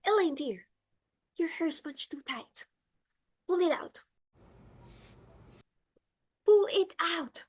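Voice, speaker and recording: Woman acting a high-voiced, bossy society hostess. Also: expressive, takes it slowly, pauses often, full character voice. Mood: pushy. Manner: dramatic, bossy, exclamatory. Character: determined, fussy middle-aged society hostess and mother. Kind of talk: stage play reading.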